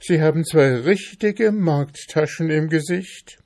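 A man's voice: on the high side, slow pace, darkish tone, pitched above the performer's own voice. A man voicing a poor, hungry female mouse in a storybook, plaintive and politely begging. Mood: meek, complaining.

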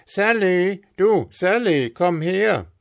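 A man's voice: high voice, lively intonation, slow, vocal fry, pitched above the performer's own voice, full character voice. A man voicing a greedy child-snatching bogeyman in a storybook reading, calling out in a raised voice.

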